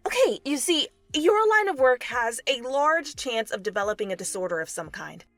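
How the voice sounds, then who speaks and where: A woman voicing a calm, frank clinician in a story reading, measured and matter-of-fact with a patient. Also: a little high, dry recording, faint music behind. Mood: reassuring.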